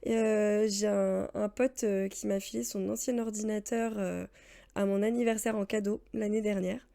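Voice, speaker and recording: A woman in her late thirties streams a game and chats loosely with her viewers, wandering between topics with plenty of fillers and laughter. Her voice sits a little high but has a soft, mellow tone. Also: gravelly. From France. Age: 30s. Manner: rambling, chatty, laughs often, answers chat. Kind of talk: casual let's-play chat.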